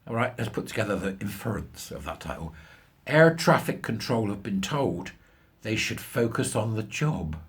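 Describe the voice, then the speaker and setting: A middle-aged British man giving sarcastic, incredulous, colloquial commentary on a news article in a husky voice. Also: fairly low pitch, sing-song, normal speed. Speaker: commentary show host.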